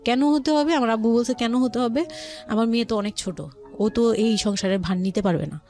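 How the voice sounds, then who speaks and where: A woman's voice: fairly high pitch, fairly quick, darkish tone, faint music behind. A woman with a Bangladeshi accent telling her personal story conversationally as a guest, often voicing what relatives said. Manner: quotes relatives' words as she narrates.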